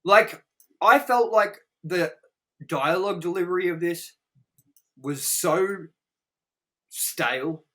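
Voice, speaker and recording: A man's voice: high voice, slow, pauses often, bright, forceful tone. A man co-hosting a casual movie review chat, rambling emphatically and swearing freely. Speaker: review show co-host.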